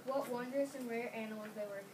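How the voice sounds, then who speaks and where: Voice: medium pitch, steady tone, fairly quick. A child of about nine or ten reading scripted narration for a school readers theater. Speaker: student narrator. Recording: noisy room, distant microphone.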